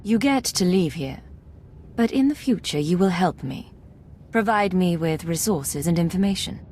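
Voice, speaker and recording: A woman's voice: on the low side, expressive, moderate pace, a little mellow, a little hiss or hum, natural voice. A woman playing a cool, commanding Imperial secret agent in a video game, controlled and authoritative.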